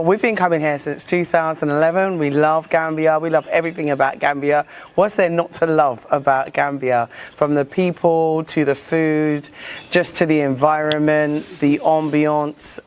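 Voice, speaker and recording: A woman's voice: deep voice, fairly even intonation, moderate pace, slight background noise. A woman from England, interviewed in casual chat, speaking emphatically, repeating her points and laughing readily.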